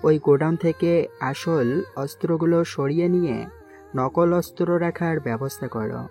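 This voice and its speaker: A man's voice: fairly high pitch, normal speed, darkish tone, close to an everyday voice. Man acting calculating mafia king, music behind. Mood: calm, calculating. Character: calm calculating male mafia king, authoritative. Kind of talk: amateur Bengali audio-story acting.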